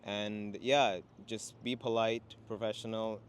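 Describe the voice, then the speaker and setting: Low-voiced young man, relaxed chat. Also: a little slow, clear, resonant tone. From Sri Lanka, raised in Qatar. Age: young adult. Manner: relaxed, many fillers like "you know". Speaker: guest, international student. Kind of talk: casual conversation. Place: outdoors in a city, traffic nearby.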